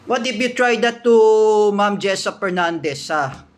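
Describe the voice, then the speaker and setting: A young Filipino man with a Filipino accent, delivering an angry, accusatory rant in halting English, repeating himself and restarting his sentences. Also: sits high, lively intonation. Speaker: vlogger.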